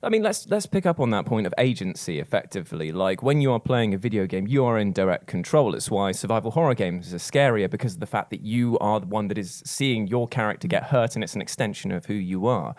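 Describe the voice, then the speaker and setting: A man's voice: fairly low pitch, expressive, quick pace, few pauses, a little mellow. A man hosting a casual panel discussion on a podcast. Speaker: podcast host.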